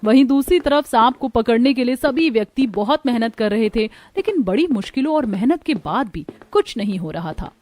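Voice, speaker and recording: A woman reading a scripted story as the narrator of an animated cartoon. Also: fairly high pitch, moderate pace, few pauses.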